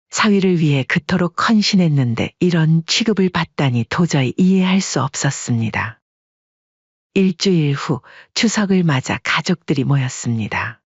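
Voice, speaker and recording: A narrator reading a family story in a calm, even storytelling manner, recorded dry and slightly dull. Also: mid-range voice, moderate pace, slightly rough, dry recording.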